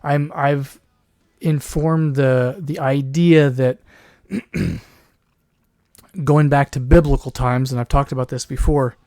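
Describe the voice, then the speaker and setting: A man talking alone on his podcast with a hoarse, worn voice, sometimes clearing his throat. He rambles and restarts his sentences, now and then slipping into a mocking put-on voice. The sound is dry and close, with no room around it. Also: mid-range voice, steady tone, slow, warm tone, dry recording. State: losing his voice. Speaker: podcast host. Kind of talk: solo commentary podcast.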